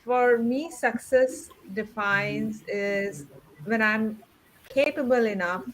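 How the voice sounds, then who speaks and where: A woman's voice: a little high, slow pace, poor audio. A woman speaking conversationally as a panelist, giving her personal views. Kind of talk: conversational discussion. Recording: faint background noise.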